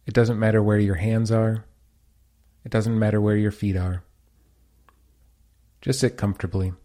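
A man leading a guided meditation as a teacher, giving calm, soothing instructions. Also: lowish voice, moderate pace.

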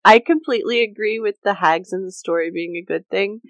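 A woman's voice: medium pitch, a little fast, no room sound. A woman hosting a casual, partly scripted podcast discussion in a jokey, informal way. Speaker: podcast host.

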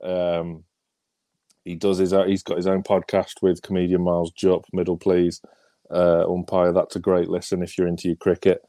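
A young man with a croaky, hoarse voice from a cold, co-hosting a casual podcast with hesitant speech full of ums. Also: sits low, completely flat delivery, normal speed, warm tone, no room sound, studio quality. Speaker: podcast co-host. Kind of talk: casual football podcast chat.

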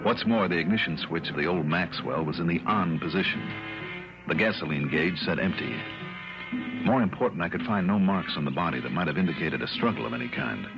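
An actor playing a keen, steady American investigator who narrates his own case in a 1960s radio drama, crisp and dramatic. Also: low voice, a little fast, music in the background. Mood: grave. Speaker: lead character and narrator. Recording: muffled vintage radio recording.

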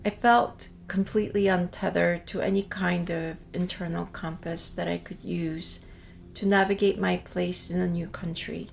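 Korean-born woman artist, middle-aged, measured and thoughtful, slightly low voice. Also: level tone, creaky, slight background noise. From South Korea. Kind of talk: reflective personal narration. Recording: faint music behind. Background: soft music.

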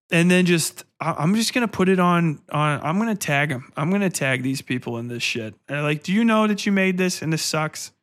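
A man with a fairly high voice hosts a casual podcast. He rambles through blunt opinions in loose, slangy language, swearing freely. He sounds exasperated and opinionated. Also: a bit bright. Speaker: podcast host.

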